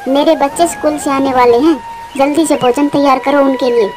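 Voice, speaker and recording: A woman's voice: high-pitched, steady tone, a little fast, over music, close to an everyday voice. A woman voicing a stern, haughty eagle mistress in a cartoon, scolding in an imperious manner. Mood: irritated, haughty. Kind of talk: Hindi moral-story cartoon dialogue.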